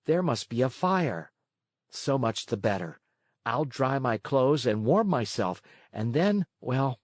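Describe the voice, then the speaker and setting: A man's voice: mid-range voice, sing-song, slow pace, no echo, studio quality. A man voicing a proud, plucky little wooden puppet boy in a children's storybook, earnest and easily indignant. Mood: hopeful, nervous. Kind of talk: children's storybook read-aloud.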